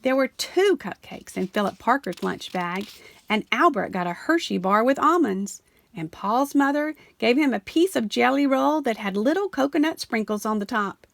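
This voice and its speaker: Gravelly-voiced woman reading a picture book aloud. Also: dry recording. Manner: animated storytelling, slowing and dropping low on the refrain. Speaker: storybook reader. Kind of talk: expressive read-aloud of a children's picture book.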